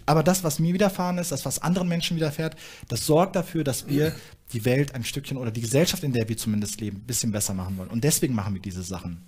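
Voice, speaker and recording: A man's voice: medium pitch, rapid-fire, a little mellow, breathy edge. A young man on a studio panel, explaining his views earnestly with frequent fillers. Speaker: panel guest, activist. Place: studio stage with small audience.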